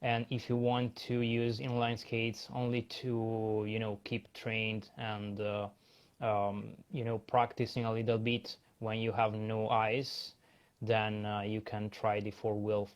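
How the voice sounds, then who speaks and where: Slow-speaking male guest. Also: fairly low pitch, monotone. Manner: measured, hesitant, with fillers. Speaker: podcast guest and skater. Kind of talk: interview answers.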